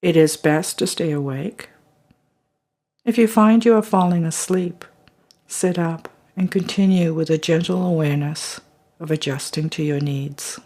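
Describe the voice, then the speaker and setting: A meditation guide leading a body scan, speaking slowly and softly and trailing into whispers at phrase ends. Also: mid-range voice.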